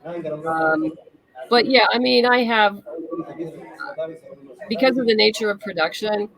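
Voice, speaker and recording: Bright-voiced woman with some creak. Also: mid-range voice, slow, poor audio. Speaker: interview guest, writer. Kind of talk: casual interview chat.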